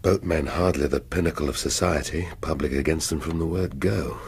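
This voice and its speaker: An actor with an English accent playing a brooding middle-aged police detective in a radio drama, musing in clipped half-sentences. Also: male voice, very deep voice, fairly quick, darkish tone, slight background noise. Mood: musing, muttering.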